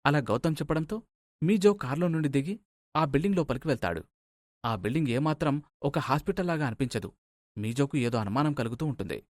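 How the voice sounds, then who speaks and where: A man's voice: on the high side, lively intonation, fairly quick, a little mellow, dry recording, clean studio sound. A man reading scripted story narration as a storyteller.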